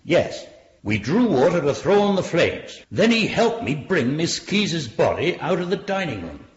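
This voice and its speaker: A man's voice: a little high, big pitch swings, a little slow. An actor playing a plain-spoken male village pub landlord as a witness in a radio drama. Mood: straightforward. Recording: roomy, slightly muffled radio drama.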